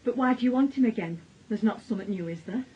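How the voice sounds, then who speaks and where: An actress playing a worried, worn-out middle-aged mother in a radio drama, very husky and breathy, with a Northern English accent. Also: on the high side, fast talker, lo-fi sound. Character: anxious, worn-out mother of an accused son.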